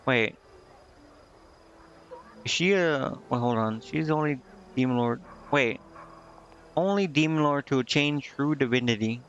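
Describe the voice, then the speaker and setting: A man's voice: medium pitch, measured pace, long pauses, fairly bright tone, dull audio, slight background noise. A man streaming a game, reading its dialogue aloud with casual commentary and stumbling now and then before correcting himself. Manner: stumbles over read text and corrects himself. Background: game music.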